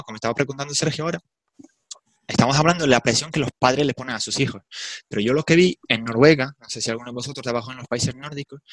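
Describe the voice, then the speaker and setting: A man's voice: on the low side, fairly bright tone, no room sound. Portuguese-accented man, hesitant, dry sound. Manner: thoughtful, hesitant, apologetic about his Spanish. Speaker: swim coach. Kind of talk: online group discussion.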